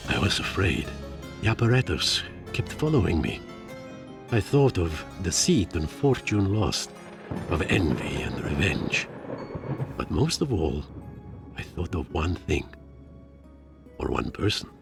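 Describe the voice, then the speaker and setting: Deep, gravelly, theatrical creature. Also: male voice, big pitch swings, measured pace, pauses often, over music, exaggerated voice. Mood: brooding. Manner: theatrical, brooding, pompous delivery. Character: Leopold, fluffy wealthy engineer creature, self-pitying, stubborn, theatrical. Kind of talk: dramatic first-person game narration.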